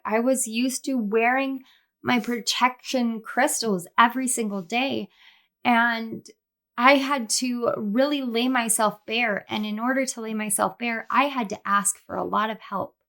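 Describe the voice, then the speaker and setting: Chatty woman with a scratchy, soft voice. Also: fairly high pitch. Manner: chatty and affectionate, many fillers. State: scratchy, soft after throat strain. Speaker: tarot reader. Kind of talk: casual spiritual vlog chat. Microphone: close to the microphone.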